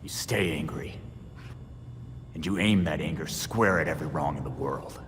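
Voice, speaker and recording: A man voicing an angry young vigilante, speaking in a blunt, gruff and sardonic way. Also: rumbling low, moderate pace. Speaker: game character. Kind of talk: video game cutscene acting. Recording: reverberant game mix with background noise.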